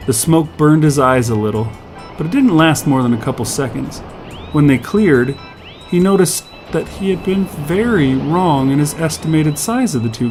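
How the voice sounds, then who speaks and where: A male narrator reads a piece of short fiction for an audio production. His voice sits a little higher than most men's, and there is faint music behind him. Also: darkish tone. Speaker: story narrator.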